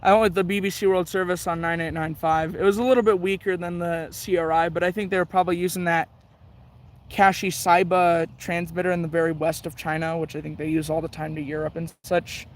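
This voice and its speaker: A man's voice: fairly high pitch, flat delivery, fairly quick. A man talking casually and chattily as a hobbyist host, thinking aloud in rambling commentary while he tunes a radio.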